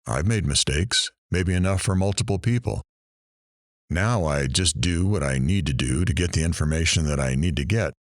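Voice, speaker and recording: Man acting a deep-voiced, musing interviewer. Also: moderate pace, no room sound, studio quality, close to an everyday voice. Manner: musing, conversational. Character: musing male interviewer, frank about his regrets. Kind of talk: audio drama dialogue.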